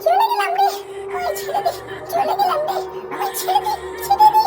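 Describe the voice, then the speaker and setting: A woman voicing a defiant female forest ghost in a cartoon, with a shrill, wailing delivery. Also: fairly even intonation, brisk. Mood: pleading, shrieking. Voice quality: shrill, screeching. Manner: wailing, repetitive chanting. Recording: loud music behind the voice.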